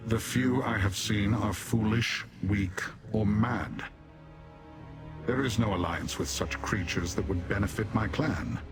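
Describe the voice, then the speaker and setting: A male actor plays a proud demon warrior from a fantasy game with a low voice. He speaks formally and in measured phrases, stern and disdainful toward mortals. Music plays clearly under the voice. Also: close to an everyday voice. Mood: guarded, cold. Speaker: non-player character in fantasy game. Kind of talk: scripted video game dialogue.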